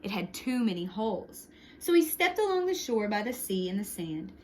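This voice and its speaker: A woman reading a picture book aloud to young children, expressively, with short hushed asides to the listeners. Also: mid-range voice, normal speed, a little hiss or hum. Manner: expressive, with hushed whispered sound words and asides. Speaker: storytime reader for young children.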